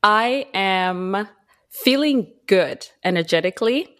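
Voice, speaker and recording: A woman's voice: medium pitch, lively intonation, takes it slowly, a bit bright. A woman from Zimbabwe, a guest on a podcast, chatting casually, laughing easily and sounding a little tired. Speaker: podcast guest, mining engineer. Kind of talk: casual interview chat.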